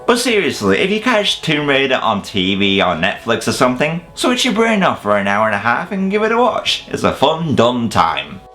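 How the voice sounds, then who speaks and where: A man's voice: mid-range voice, wildly swooping pitch, moderate pace, hardly any pauses, bright, forceful tone, faint music behind. A man delivering scripted comedic film commentary as a countdown host, with a sarcastic, casually sweary manner.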